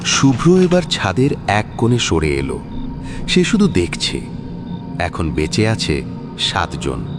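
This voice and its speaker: A man's voice: sits low, huge pitch swings, slow. A man narrating a suspense thriller audio story in a dramatic scripted style. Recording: music behind the voice. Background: suspense music.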